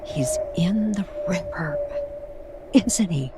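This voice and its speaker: Desperate grieving mother , played by a woman for radio drama: slow, pleading, emotionally varied pitch. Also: expressive, heavy background noise. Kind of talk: audio drama dialogue.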